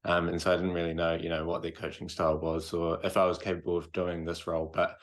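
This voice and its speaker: NZ male co-host, deep and even, thoughtful and filler-heavy. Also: quick pace. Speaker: co-host, coach. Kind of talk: casual podcast chat.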